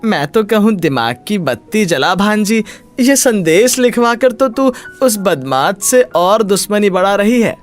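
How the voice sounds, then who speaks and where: Man as a fretful, high-pitched cartoon uncle. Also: expressive, unhurried, soft background music. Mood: worried, cautioning. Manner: pleading, folksy catchphrase talk. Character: anxious uncle, pleading and cautioning his niece. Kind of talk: animated moral story acting.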